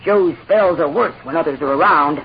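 Outlaw's wife: gruff, scheming, curt, big-framed frontier woman. Vintage radio drama, muffled recording. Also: deep voice, moderate pace. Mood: evasive. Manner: brusque, hard, suspicious. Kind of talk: 1950s radio western acting. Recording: dull old radio recording, faint noise.

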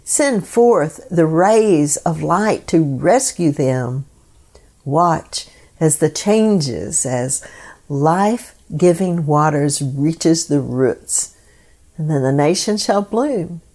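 A woman hosting a devotional program, reading scripted meditations in a solemn, measured way. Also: low-pitched, lively intonation, dark tone.

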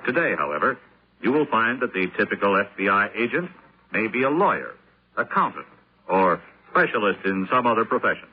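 American male announcer, vintage radio narration, lively pitch movement, slightly dull old recording. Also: brisk, breathy edge. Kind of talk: 1950s scripted public-service narration.

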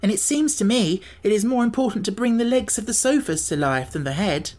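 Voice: mid-range voice, lively intonation, fairly quick, darkish tone, voice pushed up, close to an everyday voice. A storybook reader voicing an earnest young boy with a nervous, urgent manner. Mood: worried.

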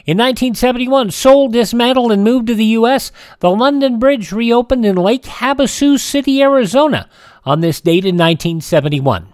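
A man hosting a classic radio program, chatting in a relaxed, rambling way with frequent fillers. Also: extremely high pitch, moderate pace, dry recording, studio quality. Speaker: radio show host. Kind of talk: casual radio host chat.

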